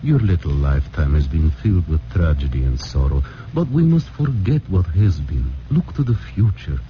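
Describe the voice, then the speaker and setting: A man's voice: very deep voice, big pitch swings, moderate pace. A man playing a tender, reassuring refugee father, speaking formally in a 1940s radio drama. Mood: solemn, hopeful. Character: gentle, reassuring refugee father, former newspaper editor. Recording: muffled, faintly noisy old radio recording.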